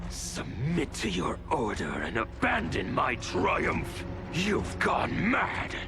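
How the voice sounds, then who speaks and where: Theatrical, wildly swooping villain. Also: male voice, fairly high pitch, wildly swooping pitch, takes it slowly, creaky, exaggerated voice. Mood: imperious. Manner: gloating, pompous, theatrical. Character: grandiose, theatrical male conquering villain. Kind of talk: cinematic villain game acting. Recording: very loud music behind.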